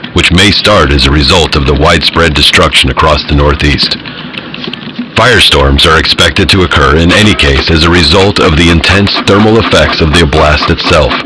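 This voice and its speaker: A solemn official in an audio drama reads an emergency bulletin. His voice is extremely deep and his delivery measured and formal. Clear noise sits behind the broadcast. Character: grave male government official announcing emergency measures.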